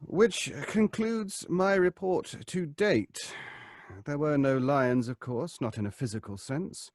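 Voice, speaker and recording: A man playing a measured doctor in an audio drama. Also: fairly high pitch, a little mellow, no room sound. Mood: clinical. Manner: measured, clinical. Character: Doctor McLean, measured psychologist.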